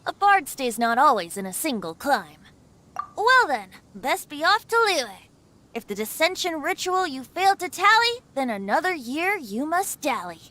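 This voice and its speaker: A woman's voice: high-pitched, very animated, normal speed, pushed and bright, heavily acted. A woman voicing a youthful boyish male bard in a video game, a playful and philosophical god with a breezy, sometimes wistful manner.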